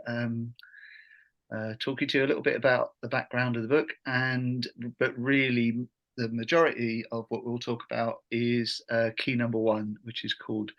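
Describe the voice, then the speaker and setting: A man's voice: mid-range voice, fairly bright tone, muffled recording. Middle-aged male workshop host, informal and hesitant in phrasing, restrained intonation. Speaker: workshop host, education trainer. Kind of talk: informal online workshop presentation. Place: video call.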